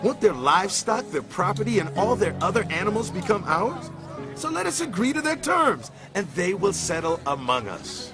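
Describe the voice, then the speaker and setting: A man's voice: high voice, sing-song, normal speed, music in the background. A man acting an eager, lovestruck young prince of an ancient city in a scripture audio drama, earnest and emphatic. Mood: persuasive.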